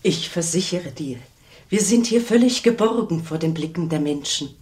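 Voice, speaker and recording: An actress playing a seductive sinner woman with a penitent soul in a German radio play, breathy and intimate in a dramatic delivery. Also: lowish voice, animated, normal speed, a little mellow. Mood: seductive, assuring. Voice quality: breathy, husky.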